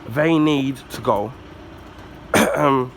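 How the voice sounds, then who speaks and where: A man from the UK with a husky, congested voice from the flu, giving a casual rambling rant with many ums and throat clearing. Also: mid-range voice, extremely slow, slight background noise. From United Kingdom. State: husky, recovering from flu, blocked nose. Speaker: YouTuber.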